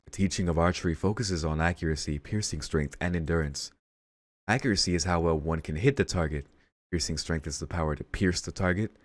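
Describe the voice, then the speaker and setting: A man's voice: low voice, fairly quick, no echo. A man hosting a let's read, reading visual novel narration aloud and dropping in casual asides. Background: game music.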